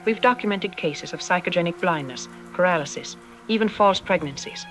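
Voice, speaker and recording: A woman playing a self-assured psychologist on a radio drama interview, explaining in an articulate, didactic and calmly dismissive way. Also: fairly low pitch, moderate pace, natural voice. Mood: clinical, dismissive. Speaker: expert interview guest. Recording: music playing under the voice. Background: background music.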